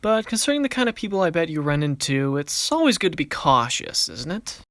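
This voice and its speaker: A man's voice: on the high side, very animated, a little fast, a bit bright, no room sound. A man voicing a confident, wisecracking young card duelist in an anime fan production, casual and theatrical. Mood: casual, wry. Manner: casual, wry, theatrical when announcing moves.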